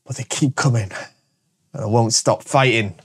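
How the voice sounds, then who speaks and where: A man's voice: mid-range voice, moderate pace, breathy edge. A man hosting his show, his voice stuffy and bunged up from a cold, talking energetically and jokily, tagging "all right?" onto his points. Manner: energetic and jokey, repeating "all right?" often. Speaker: show host and shop owner. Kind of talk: casual promotional monologue to camera.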